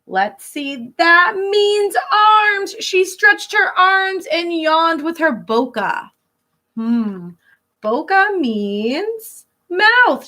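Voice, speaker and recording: Female schoolteacher for picture-book read-aloud; bright tone, unhurried delivery, teacherly explanatory asides. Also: high voice. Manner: teacherly, stops to translate and explain words simply. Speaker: elementary school teacher reading aloud to pupils.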